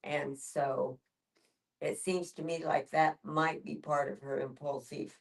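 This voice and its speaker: A woman's voice: low-pitched, fairly even intonation, slow, gravelly. A woman reflecting tentatively in a casual group discussion. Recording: voice picked up from a distance.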